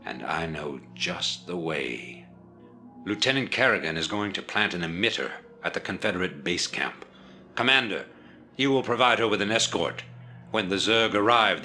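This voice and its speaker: An actor playing a calculating, authoritative rebel leader in a video game cutscene, speaking in a measured, persuasive way. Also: male voice, low-pitched, sharp tone, exaggerated voice.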